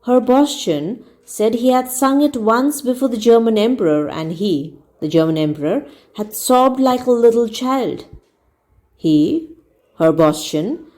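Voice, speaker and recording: A woman reading a comic novel aloud as a storyteller, in measured read-along narration with expressive emphasis. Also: mid-range voice.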